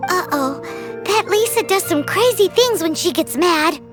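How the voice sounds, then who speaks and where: A woman voicing a tiny, childlike, excitable and cheeky fairy companion in a video game, with a squeaky voice. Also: measured pace, heavily acted. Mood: cheeky, alarmed. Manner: speaks of herself in the third person, exclaiming. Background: prominent playful music.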